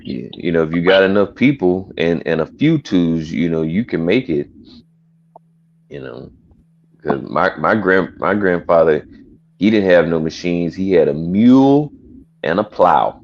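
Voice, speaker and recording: A man with a very deep voice tells a family story slowly and plainly. He laughs big between lines. The sound is dull and lo-fi. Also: expressive. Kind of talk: casual family chat.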